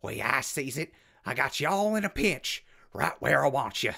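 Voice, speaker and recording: A raspy, forceful hillbilly hermit in a post-apocalyptic radio comedy. He has a rural Southern accent and threatens in folksy, broken grammar, with lively swings of pitch. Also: moderate pace, dry recording, clean studio sound, heavily acted. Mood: menacing. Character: hot-tempered backwoods hillbilly hermit with an old rifle. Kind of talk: post-apocalyptic comedy audio drama acting.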